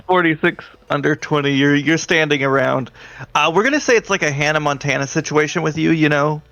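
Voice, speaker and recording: A man's voice: a little high, animated, moderate pace, fairly bright tone, dry recording, dull audio. A man improvising narration as a tabletop game master, talking conversationally and directly to his players.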